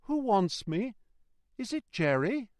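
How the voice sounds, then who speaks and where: A man puts on an anxious gentleman traveller for a storybook. The voice sits well up high, mildly quavering, with polite, precise phrasing and a dry sound. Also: very animated, moderate pace, no room sound. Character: anxious, genteel male coach passenger. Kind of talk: dramatised storybook reading.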